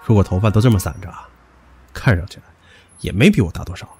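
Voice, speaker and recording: An actor playing a hot-tempered, possessive young rich heir in an audio drama, brash and taunting. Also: male voice, low voice, lively intonation, fast, dark tone, husky, full character voice. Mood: teasing. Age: young adult. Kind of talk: Chinese audio drama acting.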